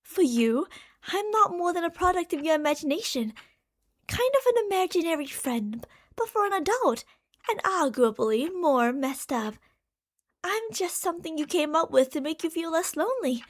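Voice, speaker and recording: Woman playing obsessive stalker girlfriend, high-pitched voice, lovestruck, coaxing, theatrical emphasis, ASMR roleplay monologue. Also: very animated, normal speed. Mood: teasing, assured. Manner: coaxing, explanatory, fond pet names, theatrical emphasis. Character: lovestruck obsessive female stalker from a parallel universe.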